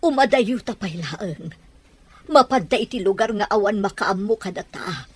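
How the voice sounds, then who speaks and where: A woman's voice: medium pitch, animated, normal speed, slightly rough, dry recording. A woman playing an anxious mother of a grown son in an Ilocano audio drama, pleading emotionally with an Ilocano Filipino accent. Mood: worried. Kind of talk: Ilocano radio-style audio drama acting.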